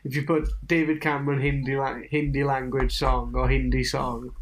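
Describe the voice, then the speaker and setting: A young Welsh man chatting casually on a podcast, rambling and swearing, hoarse from a cold. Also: mid-range voice, flat delivery, moderate pace. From Wales. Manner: swears a lot, laughing, rambling. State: has a cold, coughing. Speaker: guest. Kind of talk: casual chat.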